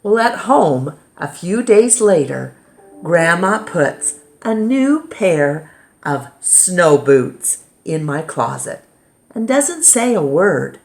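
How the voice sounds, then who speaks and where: A woman reading a children's picture book aloud as storytime reader, with dramatic pauses and emphasis. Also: on the low side.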